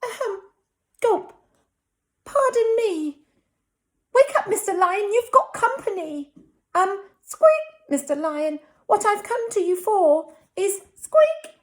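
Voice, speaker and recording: Woman as a little storybook mouse, very high-pitched, squeaky, meek, polite, swooping intonation. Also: big pitch swings, slow, heavily acted. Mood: nervous, polite. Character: tiny meek brown mouse, hopeful and polite. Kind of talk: children's picture-book storytelling.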